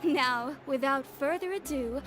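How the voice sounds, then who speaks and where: A woman's voice: sits high, a little slow, a bit bright, soft background music. A woman playing a polite, upbeat shop announcer in a video game, formally promoting a product.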